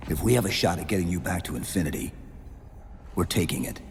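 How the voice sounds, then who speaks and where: A terse armored super-soldier. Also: male voice, low voice, quick pace, vocal fry, a little hiss or hum. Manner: terse, clipped commands. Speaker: game character. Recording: game audio with music behind.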